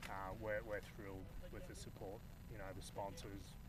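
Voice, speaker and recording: A man with a deep, raspy voice, a league co-founder chatting casually as a guest. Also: moderate pace. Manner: relaxed, upbeat, many fillers. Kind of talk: casual interview in commentary box. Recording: faint mic with outdoor background noise.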